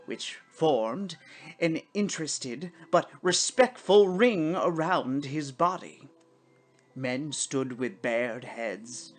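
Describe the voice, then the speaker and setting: A woman reading a story aloud as dramatic scripted narration, with deliberate theatrical phrasing. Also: deep voice. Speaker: story reader.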